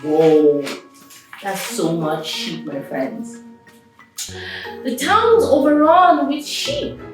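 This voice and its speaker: A woman reading a story aloud to children as host, expressive and enthusiastic, with chatty asides and drawn-out emphasis. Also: medium pitch. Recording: very echoey room, distant mic, music behind.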